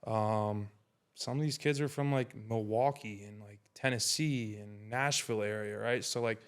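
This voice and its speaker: A young man in his twenties, from the Hudson Valley in New York, talks in a loose, slangy way that is full of "like" and "you know." His voice is clean and smooth, and it sits at a middle pitch. He sounds like a guest in a relaxed podcast chat. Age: 20s. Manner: laid-back, slangy, many fillers. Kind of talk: casual chat.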